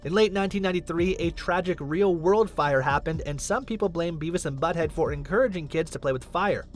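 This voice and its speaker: A man delivering scripted countdown narration in an explanatory, emphatic way. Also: on the high side. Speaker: video narrator, list countdown host.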